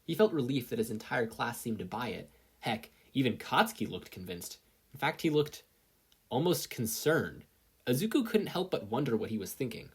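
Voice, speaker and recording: A reader giving an animated scripted narration of a fan fiction story. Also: mid-range voice, normal speed, slightly rough.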